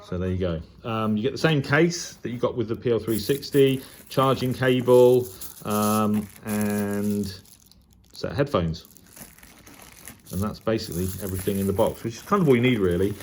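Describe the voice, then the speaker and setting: A man's voice: on the low side, moderate pace. A man giving casual, unscripted commentary as a hobbyist presenter, hesitant and thinking aloud as he demonstrates gear. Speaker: shortwave radio hobbyist presenting a video. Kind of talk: casual unboxing and demo commentary. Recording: clear background noise and ambience.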